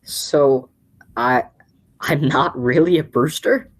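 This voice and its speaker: A woman playing an excitable, flustered young man in a radio play, with a husky voice and a stammer. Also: sits low, takes it slowly. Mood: shaken.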